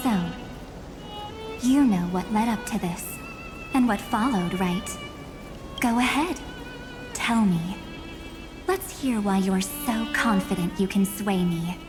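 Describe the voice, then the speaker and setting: Slow, haughty, cool villainess played by a woman. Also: medium pitch, over music, natural voice. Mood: challenging. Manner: measured, condescending. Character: cool, haughty, world-weary female antagonist. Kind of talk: video game voice acting.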